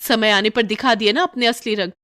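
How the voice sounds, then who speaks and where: A woman's voice: fairly high pitch, a little fast, a bit bright, close to an everyday voice. A woman voicing a haughty, spoiled rich young wife who complains indignantly, in a Hindi moral story cartoon. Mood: angry, whining.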